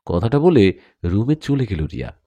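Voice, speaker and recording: Animated, mellow male storyteller. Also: lowish voice, unhurried, no room sound, dull audio. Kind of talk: dramatic scripted story narration.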